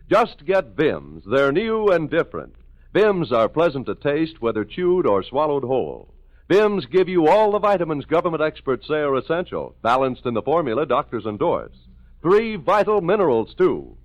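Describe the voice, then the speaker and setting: A man's voice: fairly high pitch, highs cut off. A man delivering an emphatic 1940s radio commercial as an announcer, on an old recording. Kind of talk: 1940s radio advertising pitch.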